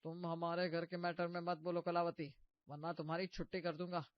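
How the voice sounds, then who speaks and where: A man acts out a penny-pinching, bossy husband from a comic cartoon story. His voice is notably high for a man and full of grumbling. It is recorded very dry, with a slightly muffled sound. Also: level tone, fairly quick, no room sound, natural voice. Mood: scolding. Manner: complaining, bossy. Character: stingy, grumbling husband and householder.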